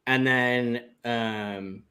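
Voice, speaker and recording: A man chatting casually as a panelist on a talk show, in a halting, conversational way with trailing asides. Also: medium pitch, fairly even intonation, sharp tone. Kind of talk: casual chat.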